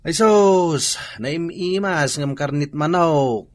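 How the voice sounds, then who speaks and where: A man's voice: fairly high pitch, slow pace. A man acting a fussy, food-loving father in an Ilocano radio drama, speaking with an Ilocano accent. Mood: relishing.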